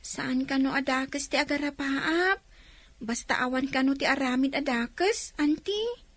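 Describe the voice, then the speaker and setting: An actress playing a young, outspoken but respectful daughter in a radio comedy-drama. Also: female voice, sits high, a little slow, a bit bright, no room sound. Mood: polite, defensive.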